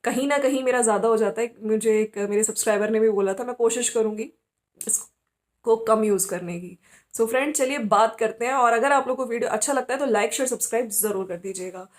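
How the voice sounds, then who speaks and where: A woman's voice: a little high, fairly quick. A woman hosts a commentary video. She talks conversationally and gives her opinions, often adding small tags to check that listeners follow. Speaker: YouTube commentary host. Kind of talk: informal opinion commentary on family vloggers.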